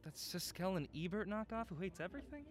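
A man's voice: high-pitched, expressive, quick pace. A man acting a cocky, easygoing young thrill-seeker on the run in a radio drama. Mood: dry.

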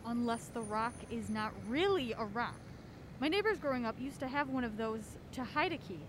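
A woman's voice: a little high, animated, normal speed, background noise, lightly acted. A woman playing a wry, wary amateur sleuth in a naturalistic audio drama, with a dry, questioning manner. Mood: curious, urgent. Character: wry, wary young woman on a mystery hunt.

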